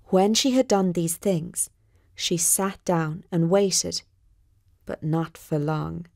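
A woman narrating a scripted bedtime story with measured, deliberate, dramatic phrasing. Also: fairly low pitch, vocal fry, a little mellow, dry recording, studio quality.

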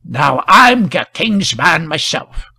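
A man's voice: a little high, big pitch swings, slow, creaky, bright, forceful tone, breathy edge, full character voice. A man voicing a grim, formidable hangman in a storybook reading, giving peremptory orders in thick tones. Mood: commanding. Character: grim, sinister, commanding hangman gentleman in grey.

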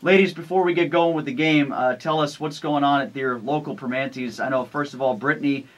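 A man's voice: medium pitch, brisk. A man hosting a radio morning show from a studio, joking with guests and running a quiz. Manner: joking, quizmaster tone. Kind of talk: lively radio banter. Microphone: boom studio mic.

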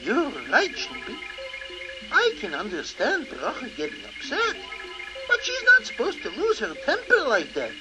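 Fussy, wildly swooping doctor. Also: male voice, extremely high pitch, huge pitch swings, measured pace, loud music behind the voice, full character voice, poor audio. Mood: instructive. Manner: instructive, theatrical. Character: fussy, kindly, animated doctor mentor. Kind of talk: children's audio story acting.

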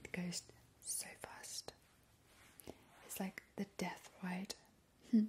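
A woman playing a playfully creepy, doting vampire in a breathy, cooing ASMR whisper. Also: fairly low pitch, little pitch movement, a little mellow. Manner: slow, lingering, cooing. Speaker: ASMR performer. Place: small indoor kitchen.

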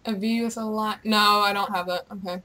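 A young woman gamer with a playful, excitable, teasing manner, chatting over gameplay and reading game dialogue aloud. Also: mid-range voice, fairly quick. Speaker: gamer, video host.

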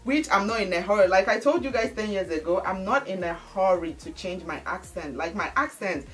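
A woman's voice: mid-range voice, lively intonation, fairly quick, fairly bright tone, distant mic, rough recording. A woman in her thirties from Nigeria, speaking with a Nigerian accent, chatting animatedly to camera in a home studio and calling her viewers guys. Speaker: beauty and lifestyle vlogger. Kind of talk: casual chat to camera. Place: bright indoor home studio.

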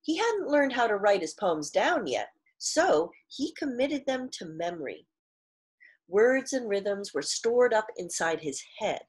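A middle-aged woman reading a storybook aloud expressively like a teacher, deliberately stressing key words and pausing to explain. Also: mid-range voice, sing-song, slightly rough. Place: small living room at home, indoors.

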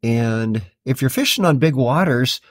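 A man's voice: mid-range voice, expressive, a little slow. Matter-of-fact man explaining a DIY install. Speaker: host of a DIY boat install video. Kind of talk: hands-on how-to narration.

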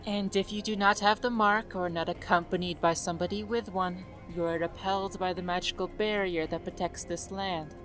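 An actress playing an earnest assassin explaining things in an audio drama. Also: female voice, lowish voice, steady tone, normal speed, few pauses, fairly bright tone, faint music behind, lightly acted.

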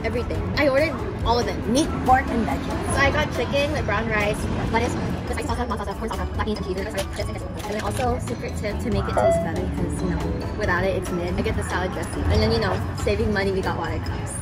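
A woman's voice: medium pitch, fast talker, loud music behind the voice. A young woman chatting casually with a friend for a vlog, teasing and swearing freely. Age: young adult. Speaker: vlogger's friend. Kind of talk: casual chat.

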